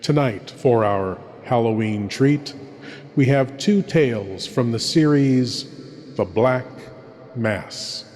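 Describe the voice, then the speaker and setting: A man playing a sinister, courtly horror host, speaking in a hushed, deliberate, theatrical old-time radio manner with dramatic pauses. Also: medium pitch, lightly acted. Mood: hushed, eerie relish. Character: sinister, courtly male horror host welcoming listeners into darkness. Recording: long hall reverb under music.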